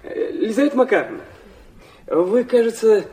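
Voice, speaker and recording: A man's voice: sits high, big pitch swings, moderate pace, mellow, husky, exaggerated voice. An actor playing a hot-tempered, tormented young student who acts out and narrates his confessions in a literary radio play. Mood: sarcastic, needling. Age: young adult. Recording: studio radio drama with music behind.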